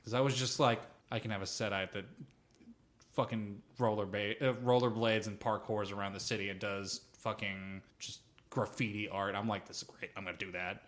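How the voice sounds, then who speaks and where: A man's voice: lowish voice, moderate pace. Rambling, sweary man in casual chat. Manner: rambling, profane, animated storytelling. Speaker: podcast co-host. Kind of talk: casual chat about tabletop role-playing.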